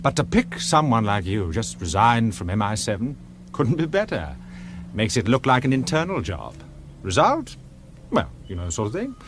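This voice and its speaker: A cool-headed British intelligence officer in a radio thriller, self-assured and brisk. His pitch moves widely as he lays out facts unruffled, with clear noise behind him. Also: wildly swooping pitch. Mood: admiring, matter-of-fact. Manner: brisk, unruffled, matter-of-fact.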